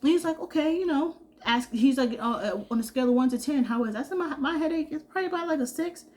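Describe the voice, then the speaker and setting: A sick, congested young woman from Georgia chatting casually in a vlog, telling a story in an animated way and acting out what people said. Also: sits high, fast talker. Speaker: vlogger.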